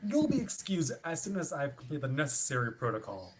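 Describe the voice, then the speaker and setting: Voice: mid-range voice, huge pitch swings, a little fast, crowd noise. An actor in a staged play reading playing a chipper, rule-bound ship officer who is formal, wordy and politely stubborn. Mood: politely insistent. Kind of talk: staged reading of a play over video stream.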